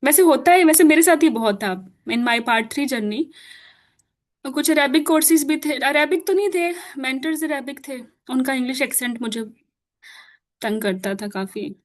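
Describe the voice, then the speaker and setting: A woman's voice: high-pitched, moderate pace. A woman whose first language is Urdu, teaching an informal online class in a chatty way, laughing often and mixing in English. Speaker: course teacher.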